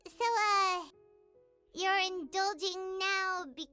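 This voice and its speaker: A woman's voice: very high voice, steady tone, slow pace, pushed and bright, exaggerated voice. A woman voicing a tiny chatty female fairy companion who is cheerful and bossy and talks about herself in the third person, in game cutscene dialogue. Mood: chirpy. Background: background music.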